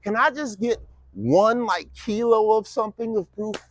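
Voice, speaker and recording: A man's voice: high-pitched, moderate pace. A man in his fifties delivering a casual, mocking political monologue with lots of rhetorical questions. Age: 50s. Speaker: vlogger giving a political speech.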